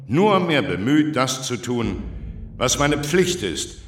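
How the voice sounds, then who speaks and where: An actor playing a self-important older justice minister with a formal, commanding manner in a radio drama. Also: male voice, medium pitch, very animated, takes it slowly, reverberant, soft background music. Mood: solemn, self-satisfied. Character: pompous older justice minister, a guilty former judge. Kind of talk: German radio crime drama acting.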